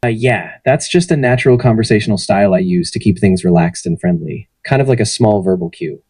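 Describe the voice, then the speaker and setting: A man's voice: on the low side, fairly quick, husky, dry recording. A synthetic male AI assistant voice giving friendly, polished answers in an interview. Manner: friendly, even, polished delivery. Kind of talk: conversational answers to interview questions.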